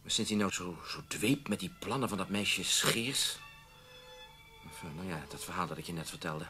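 An actor playing a weary, self-doubting older newspaper editor, resigned and lamenting, in a radio drama. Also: male voice, sits low, normal speed, bright, forceful tone, faint music behind. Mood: resigned, regretful.

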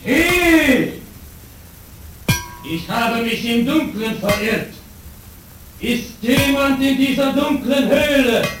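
An actor playing a proud, formal samurai knight in a radio play, with a ceremonious, declamatory delivery. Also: male voice, extremely high pitch, slow, long pauses, noisy background. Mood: searching, calling out. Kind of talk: German radio play acting. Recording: echoey, distant radio drama, music behind.

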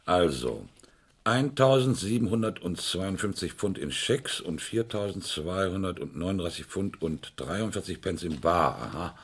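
Brisk police inspector, 1970s radio play, low register, animated intonation. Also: male voice, husky, full character voice. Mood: probing, matter-of-fact. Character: brisk, businesslike male police inspector questioning witnesses. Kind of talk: 1970s German radio crime drama acting.

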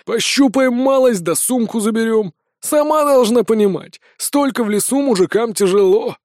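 A man voicing a crude, mocking bandit leader with a booming, threatening manner in a fantasy audiobook. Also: normal speed, darkish tone, no room sound, studio quality. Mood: leering. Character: gruff menacing male bandit chief, crude and mocking.

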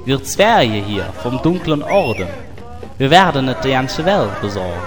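A man's voice: mid-range voice, sing-song, unhurried. A man voicing a boisterous, mocking dwarf carnival crier who declaims in rhymes for a comic audio drama. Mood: boastful. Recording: echoing hall with crowd noise.

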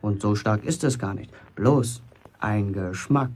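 A man's voice: fairly low pitch, measured pace, darkish tone. A man acting a cocky, grumbling fifteen-year-old apprentice bricklayer in a German radio play, self-important in his way of talking. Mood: polite, restrained. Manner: boastful, indignant, self-important.

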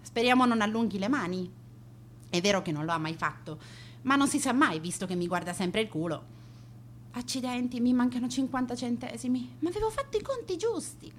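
A woman's voice: on the high side, expressive, fairly quick, a little hiss or hum, close to an everyday voice. A young woman acting a vain, scornful teenage schoolgirl who narrates her days in a theatrical, confiding radio monologue. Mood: grudging, wary. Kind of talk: dramatic radio monologue in present tense.

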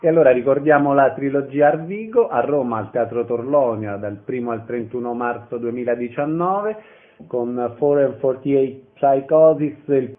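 Guy hosting a radio interview, medium pitch, thin lo-fi radio audio. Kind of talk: radio interview, introducing guests and shows. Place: radio programme. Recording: band-limited radio broadcast audio.

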